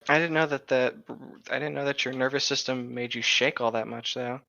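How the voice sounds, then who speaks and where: A man with a bright, forward voice at an ordinary pitch, bantering casually as a co-host. He sounds flippant, and the recording is a little dull. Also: steady tone, a little fast, creaky. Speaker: podcast co-host reading and riffing on a novel. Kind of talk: casual banter with read-aloud.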